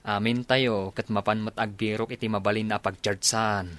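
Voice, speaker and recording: A man's voice: fairly low pitch, steady tone, moderate pace, a bit bright, lightly acted. A man playing a friendly soldier eager to get home in a radio drama, talking casually with his comrade. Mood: comradely.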